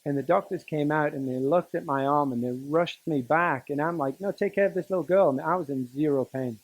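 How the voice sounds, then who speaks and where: A man's voice: a little high, no room sound, low-quality recording. Middle-aged man. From Newcastle, northern England. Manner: conversational, halting delivery with dry humour. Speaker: teacher and coach giving a speech to students. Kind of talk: personal motivational talk, storytelling.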